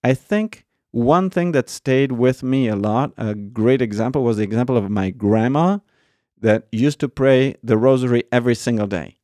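Argentine-born priest, soft dark voice. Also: male voice, medium pitch, dry recording, studio quality. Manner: thoughtful, many pauses and fillers, says "right?" often. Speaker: priest guest. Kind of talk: conversational storytelling.

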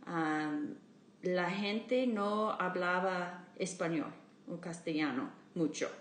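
Woman, Spanish learner, very slow, searching for words, echoey room, faint noise. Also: on the low side. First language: English. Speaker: podcast host. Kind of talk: casual interview.

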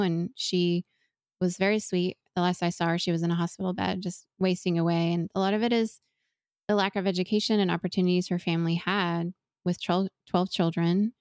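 Woman, forties, raised in Venezuela; earnest, reflective guest, lowish voice. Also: a little mellow, no echo, clean studio sound. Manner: earnest, thoughtful, with frequent restarts. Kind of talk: reflective interview conversation.

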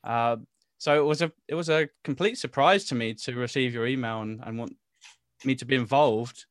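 A man's voice: mid-range voice, moderate pace, no room sound. Chatty male singer, dry close sound. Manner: chatty, laughing, hesitant restarts. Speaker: guest singer. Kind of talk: casual interview chat.

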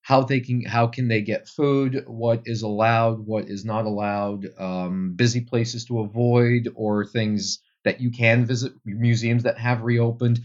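A man's voice: mid-range voice, fairly even intonation, a little slow, hardly any pauses, dull audio. A young Flemish man, a Dutch speaker, answering interview questions about tour guiding thoughtfully, with many fillers. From Belgium. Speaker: tour guide guest.

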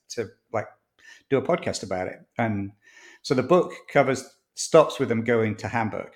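A man in his sixties from near Manchester with a northern English accent, telling stories as an interview guest in a rambling, chatty way with many ums. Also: lowish voice. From northwest England, near Manchester. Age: 60s. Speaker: author and podcast guest. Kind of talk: casual interview chat.

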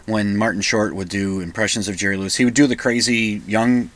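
A male radio host with a slightly croaky voice from a cold and a lozenge in his mouth, rambling casually and self-deprecatingly. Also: fairly low pitch, fairly even intonation, fairly quick, fairly bright tone. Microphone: microphone into recording software.

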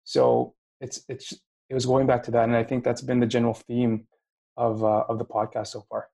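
Gravelly-voiced young man, Filipino-accented host. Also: medium pitch, normal speed, mellow. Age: late 20s. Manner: searching for words, many fillers and restarts. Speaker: podcast host. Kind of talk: casual interview chat.

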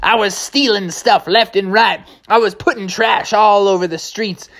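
A man's voice: high voice, fairly even intonation, normal speed, fairly bright tone, voice pushed up. A man voicing a cheerful, dim, boastful small-time crook with a folksy, chatty manner, in a children's story. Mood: cheerfully boastful. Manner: folksy, chatty, tongue-tied.

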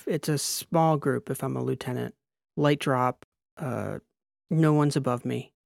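A man voicing a strained, defiant female lieutenant in an audiobook, answering in halting, clipped phrases. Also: mid-range voice, fairly even intonation, creaky, dry recording, studio quality. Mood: halting, concentrating. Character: strained, defiant female army lieutenant recalling combat drop.

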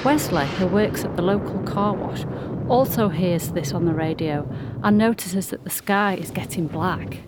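A woman's voice: on the low side, moderate pace, very noisy. A woman reading scripted storybook-style narration as the narrator of a community radio play. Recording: music playing behind the voice. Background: music.

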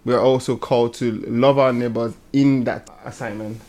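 A man's voice: mid-range voice, steady tone, normal speed, a little mellow. Man talking hesitantly about faith. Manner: hesitant, repeating words as he thinks. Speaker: panelist on a religious programme. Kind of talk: casual faith discussion.

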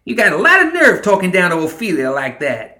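Crotchety old gremlin matriarch , played by a man, high-pitched and drawn out, folksy and brazen, roomy sound. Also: very animated, measured pace, sharp tone, exaggerated voice. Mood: defiant.

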